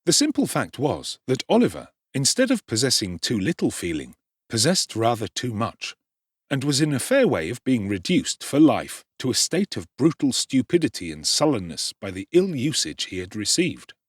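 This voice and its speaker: A man narrating a classic novel with expressive, theatrical delivery. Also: mid-range voice, normal speed, breathy edge, no echo, studio quality. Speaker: audiobook narrator. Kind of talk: dramatic audiobook narration.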